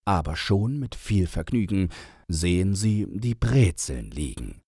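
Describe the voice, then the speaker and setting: A man narrating a storybook in verse as an audiobook reader, measured and with dramatic pauses. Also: deep voice, very animated, darkish tone, husky, no echo, clean studio sound.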